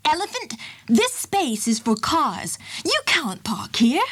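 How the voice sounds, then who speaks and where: A woman doing a shrill, flustered traffic warden. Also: very animated, moderate pace. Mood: stern. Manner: shrill, exclaiming, scolding.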